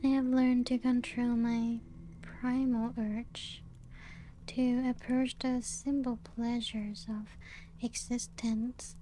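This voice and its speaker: A woman playing a genteel, serene, playful ancient vampire in a soft-spoken ASMR roleplay, with a breathy, often half-whispered voice and gentle lilt. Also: fairly high pitch, flat delivery, a little hiss or hum, low-quality recording. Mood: wistful, enchanted. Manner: slow, unhurried, gently lilting storytelling. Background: music.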